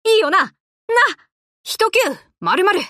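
A woman voicing a brash, tomboyish young warship girl in an anime game, talking in rough, cocky, casual boyish slang. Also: extremely high pitch, very animated, takes it slowly, bright, forceful tone, no room sound, clean studio sound, heavily acted. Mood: cheerful. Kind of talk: Japanese anime game voice lines.

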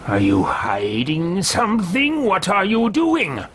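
A man's voice: high-pitched, wildly swooping pitch, takes it slowly, a bit bright, a little hiss or hum, pitched above the performer's own voice, heavily acted. A man in his thirties voicing an arrogant, self-absorbed mage adventurer in a pompous, grandiose way, recorded at a desk at home. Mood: haughty. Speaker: let's play host roleplaying a character. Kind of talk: in-character roleplay over a video game. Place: room at home, indoors.

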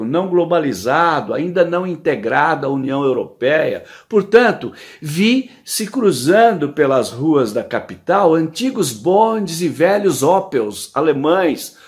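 A middle-aged Brazilian man with a Brazilian Portuguese accent, reading his written opinion column indoors into a phone camera, lively and emphatic with rhetorical questions. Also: on the high side, a little slow, hardly any pauses.

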